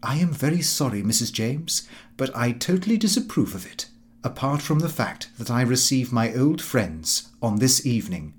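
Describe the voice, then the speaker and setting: A man's voice: medium pitch, very animated, normal speed, close to an everyday voice. A man voicing a pompous, easily offended middle-class husband from a comic diary, speaking stiffly and formally. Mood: stiff refusal.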